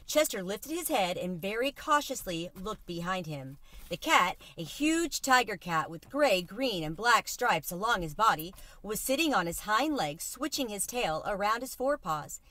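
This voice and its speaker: Female storyteller for children's read-aloud, bright, animated intonation, dry close sound. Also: medium pitch, dry recording. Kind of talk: expressive children's book narration.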